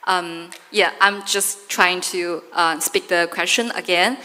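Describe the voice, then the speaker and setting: A woman's voice: lowish voice, steady tone, takes it slowly, pushed and bright. A woman moderating a panel, friendly, with loose phrasing full of fillers. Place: large conference hall. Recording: reverberant conference hall.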